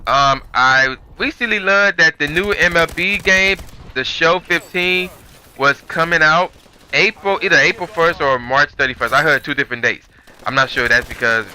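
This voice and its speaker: A man's voice: medium pitch, pushed and bright, clear, resonant tone. A man telling a story as a channel host, in a rambling, conversational, slangy way. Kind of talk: casual storytelling commentary.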